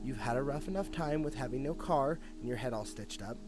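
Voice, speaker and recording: A man's voice: mid-range voice, a little fast, close to an everyday voice. A young man playing a wry, grumbling detective in an audio drama, with a casual, emphatic delivery. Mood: wry, persuasive. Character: wry, grumbling male detective, former partner. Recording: dialogue over clear background music.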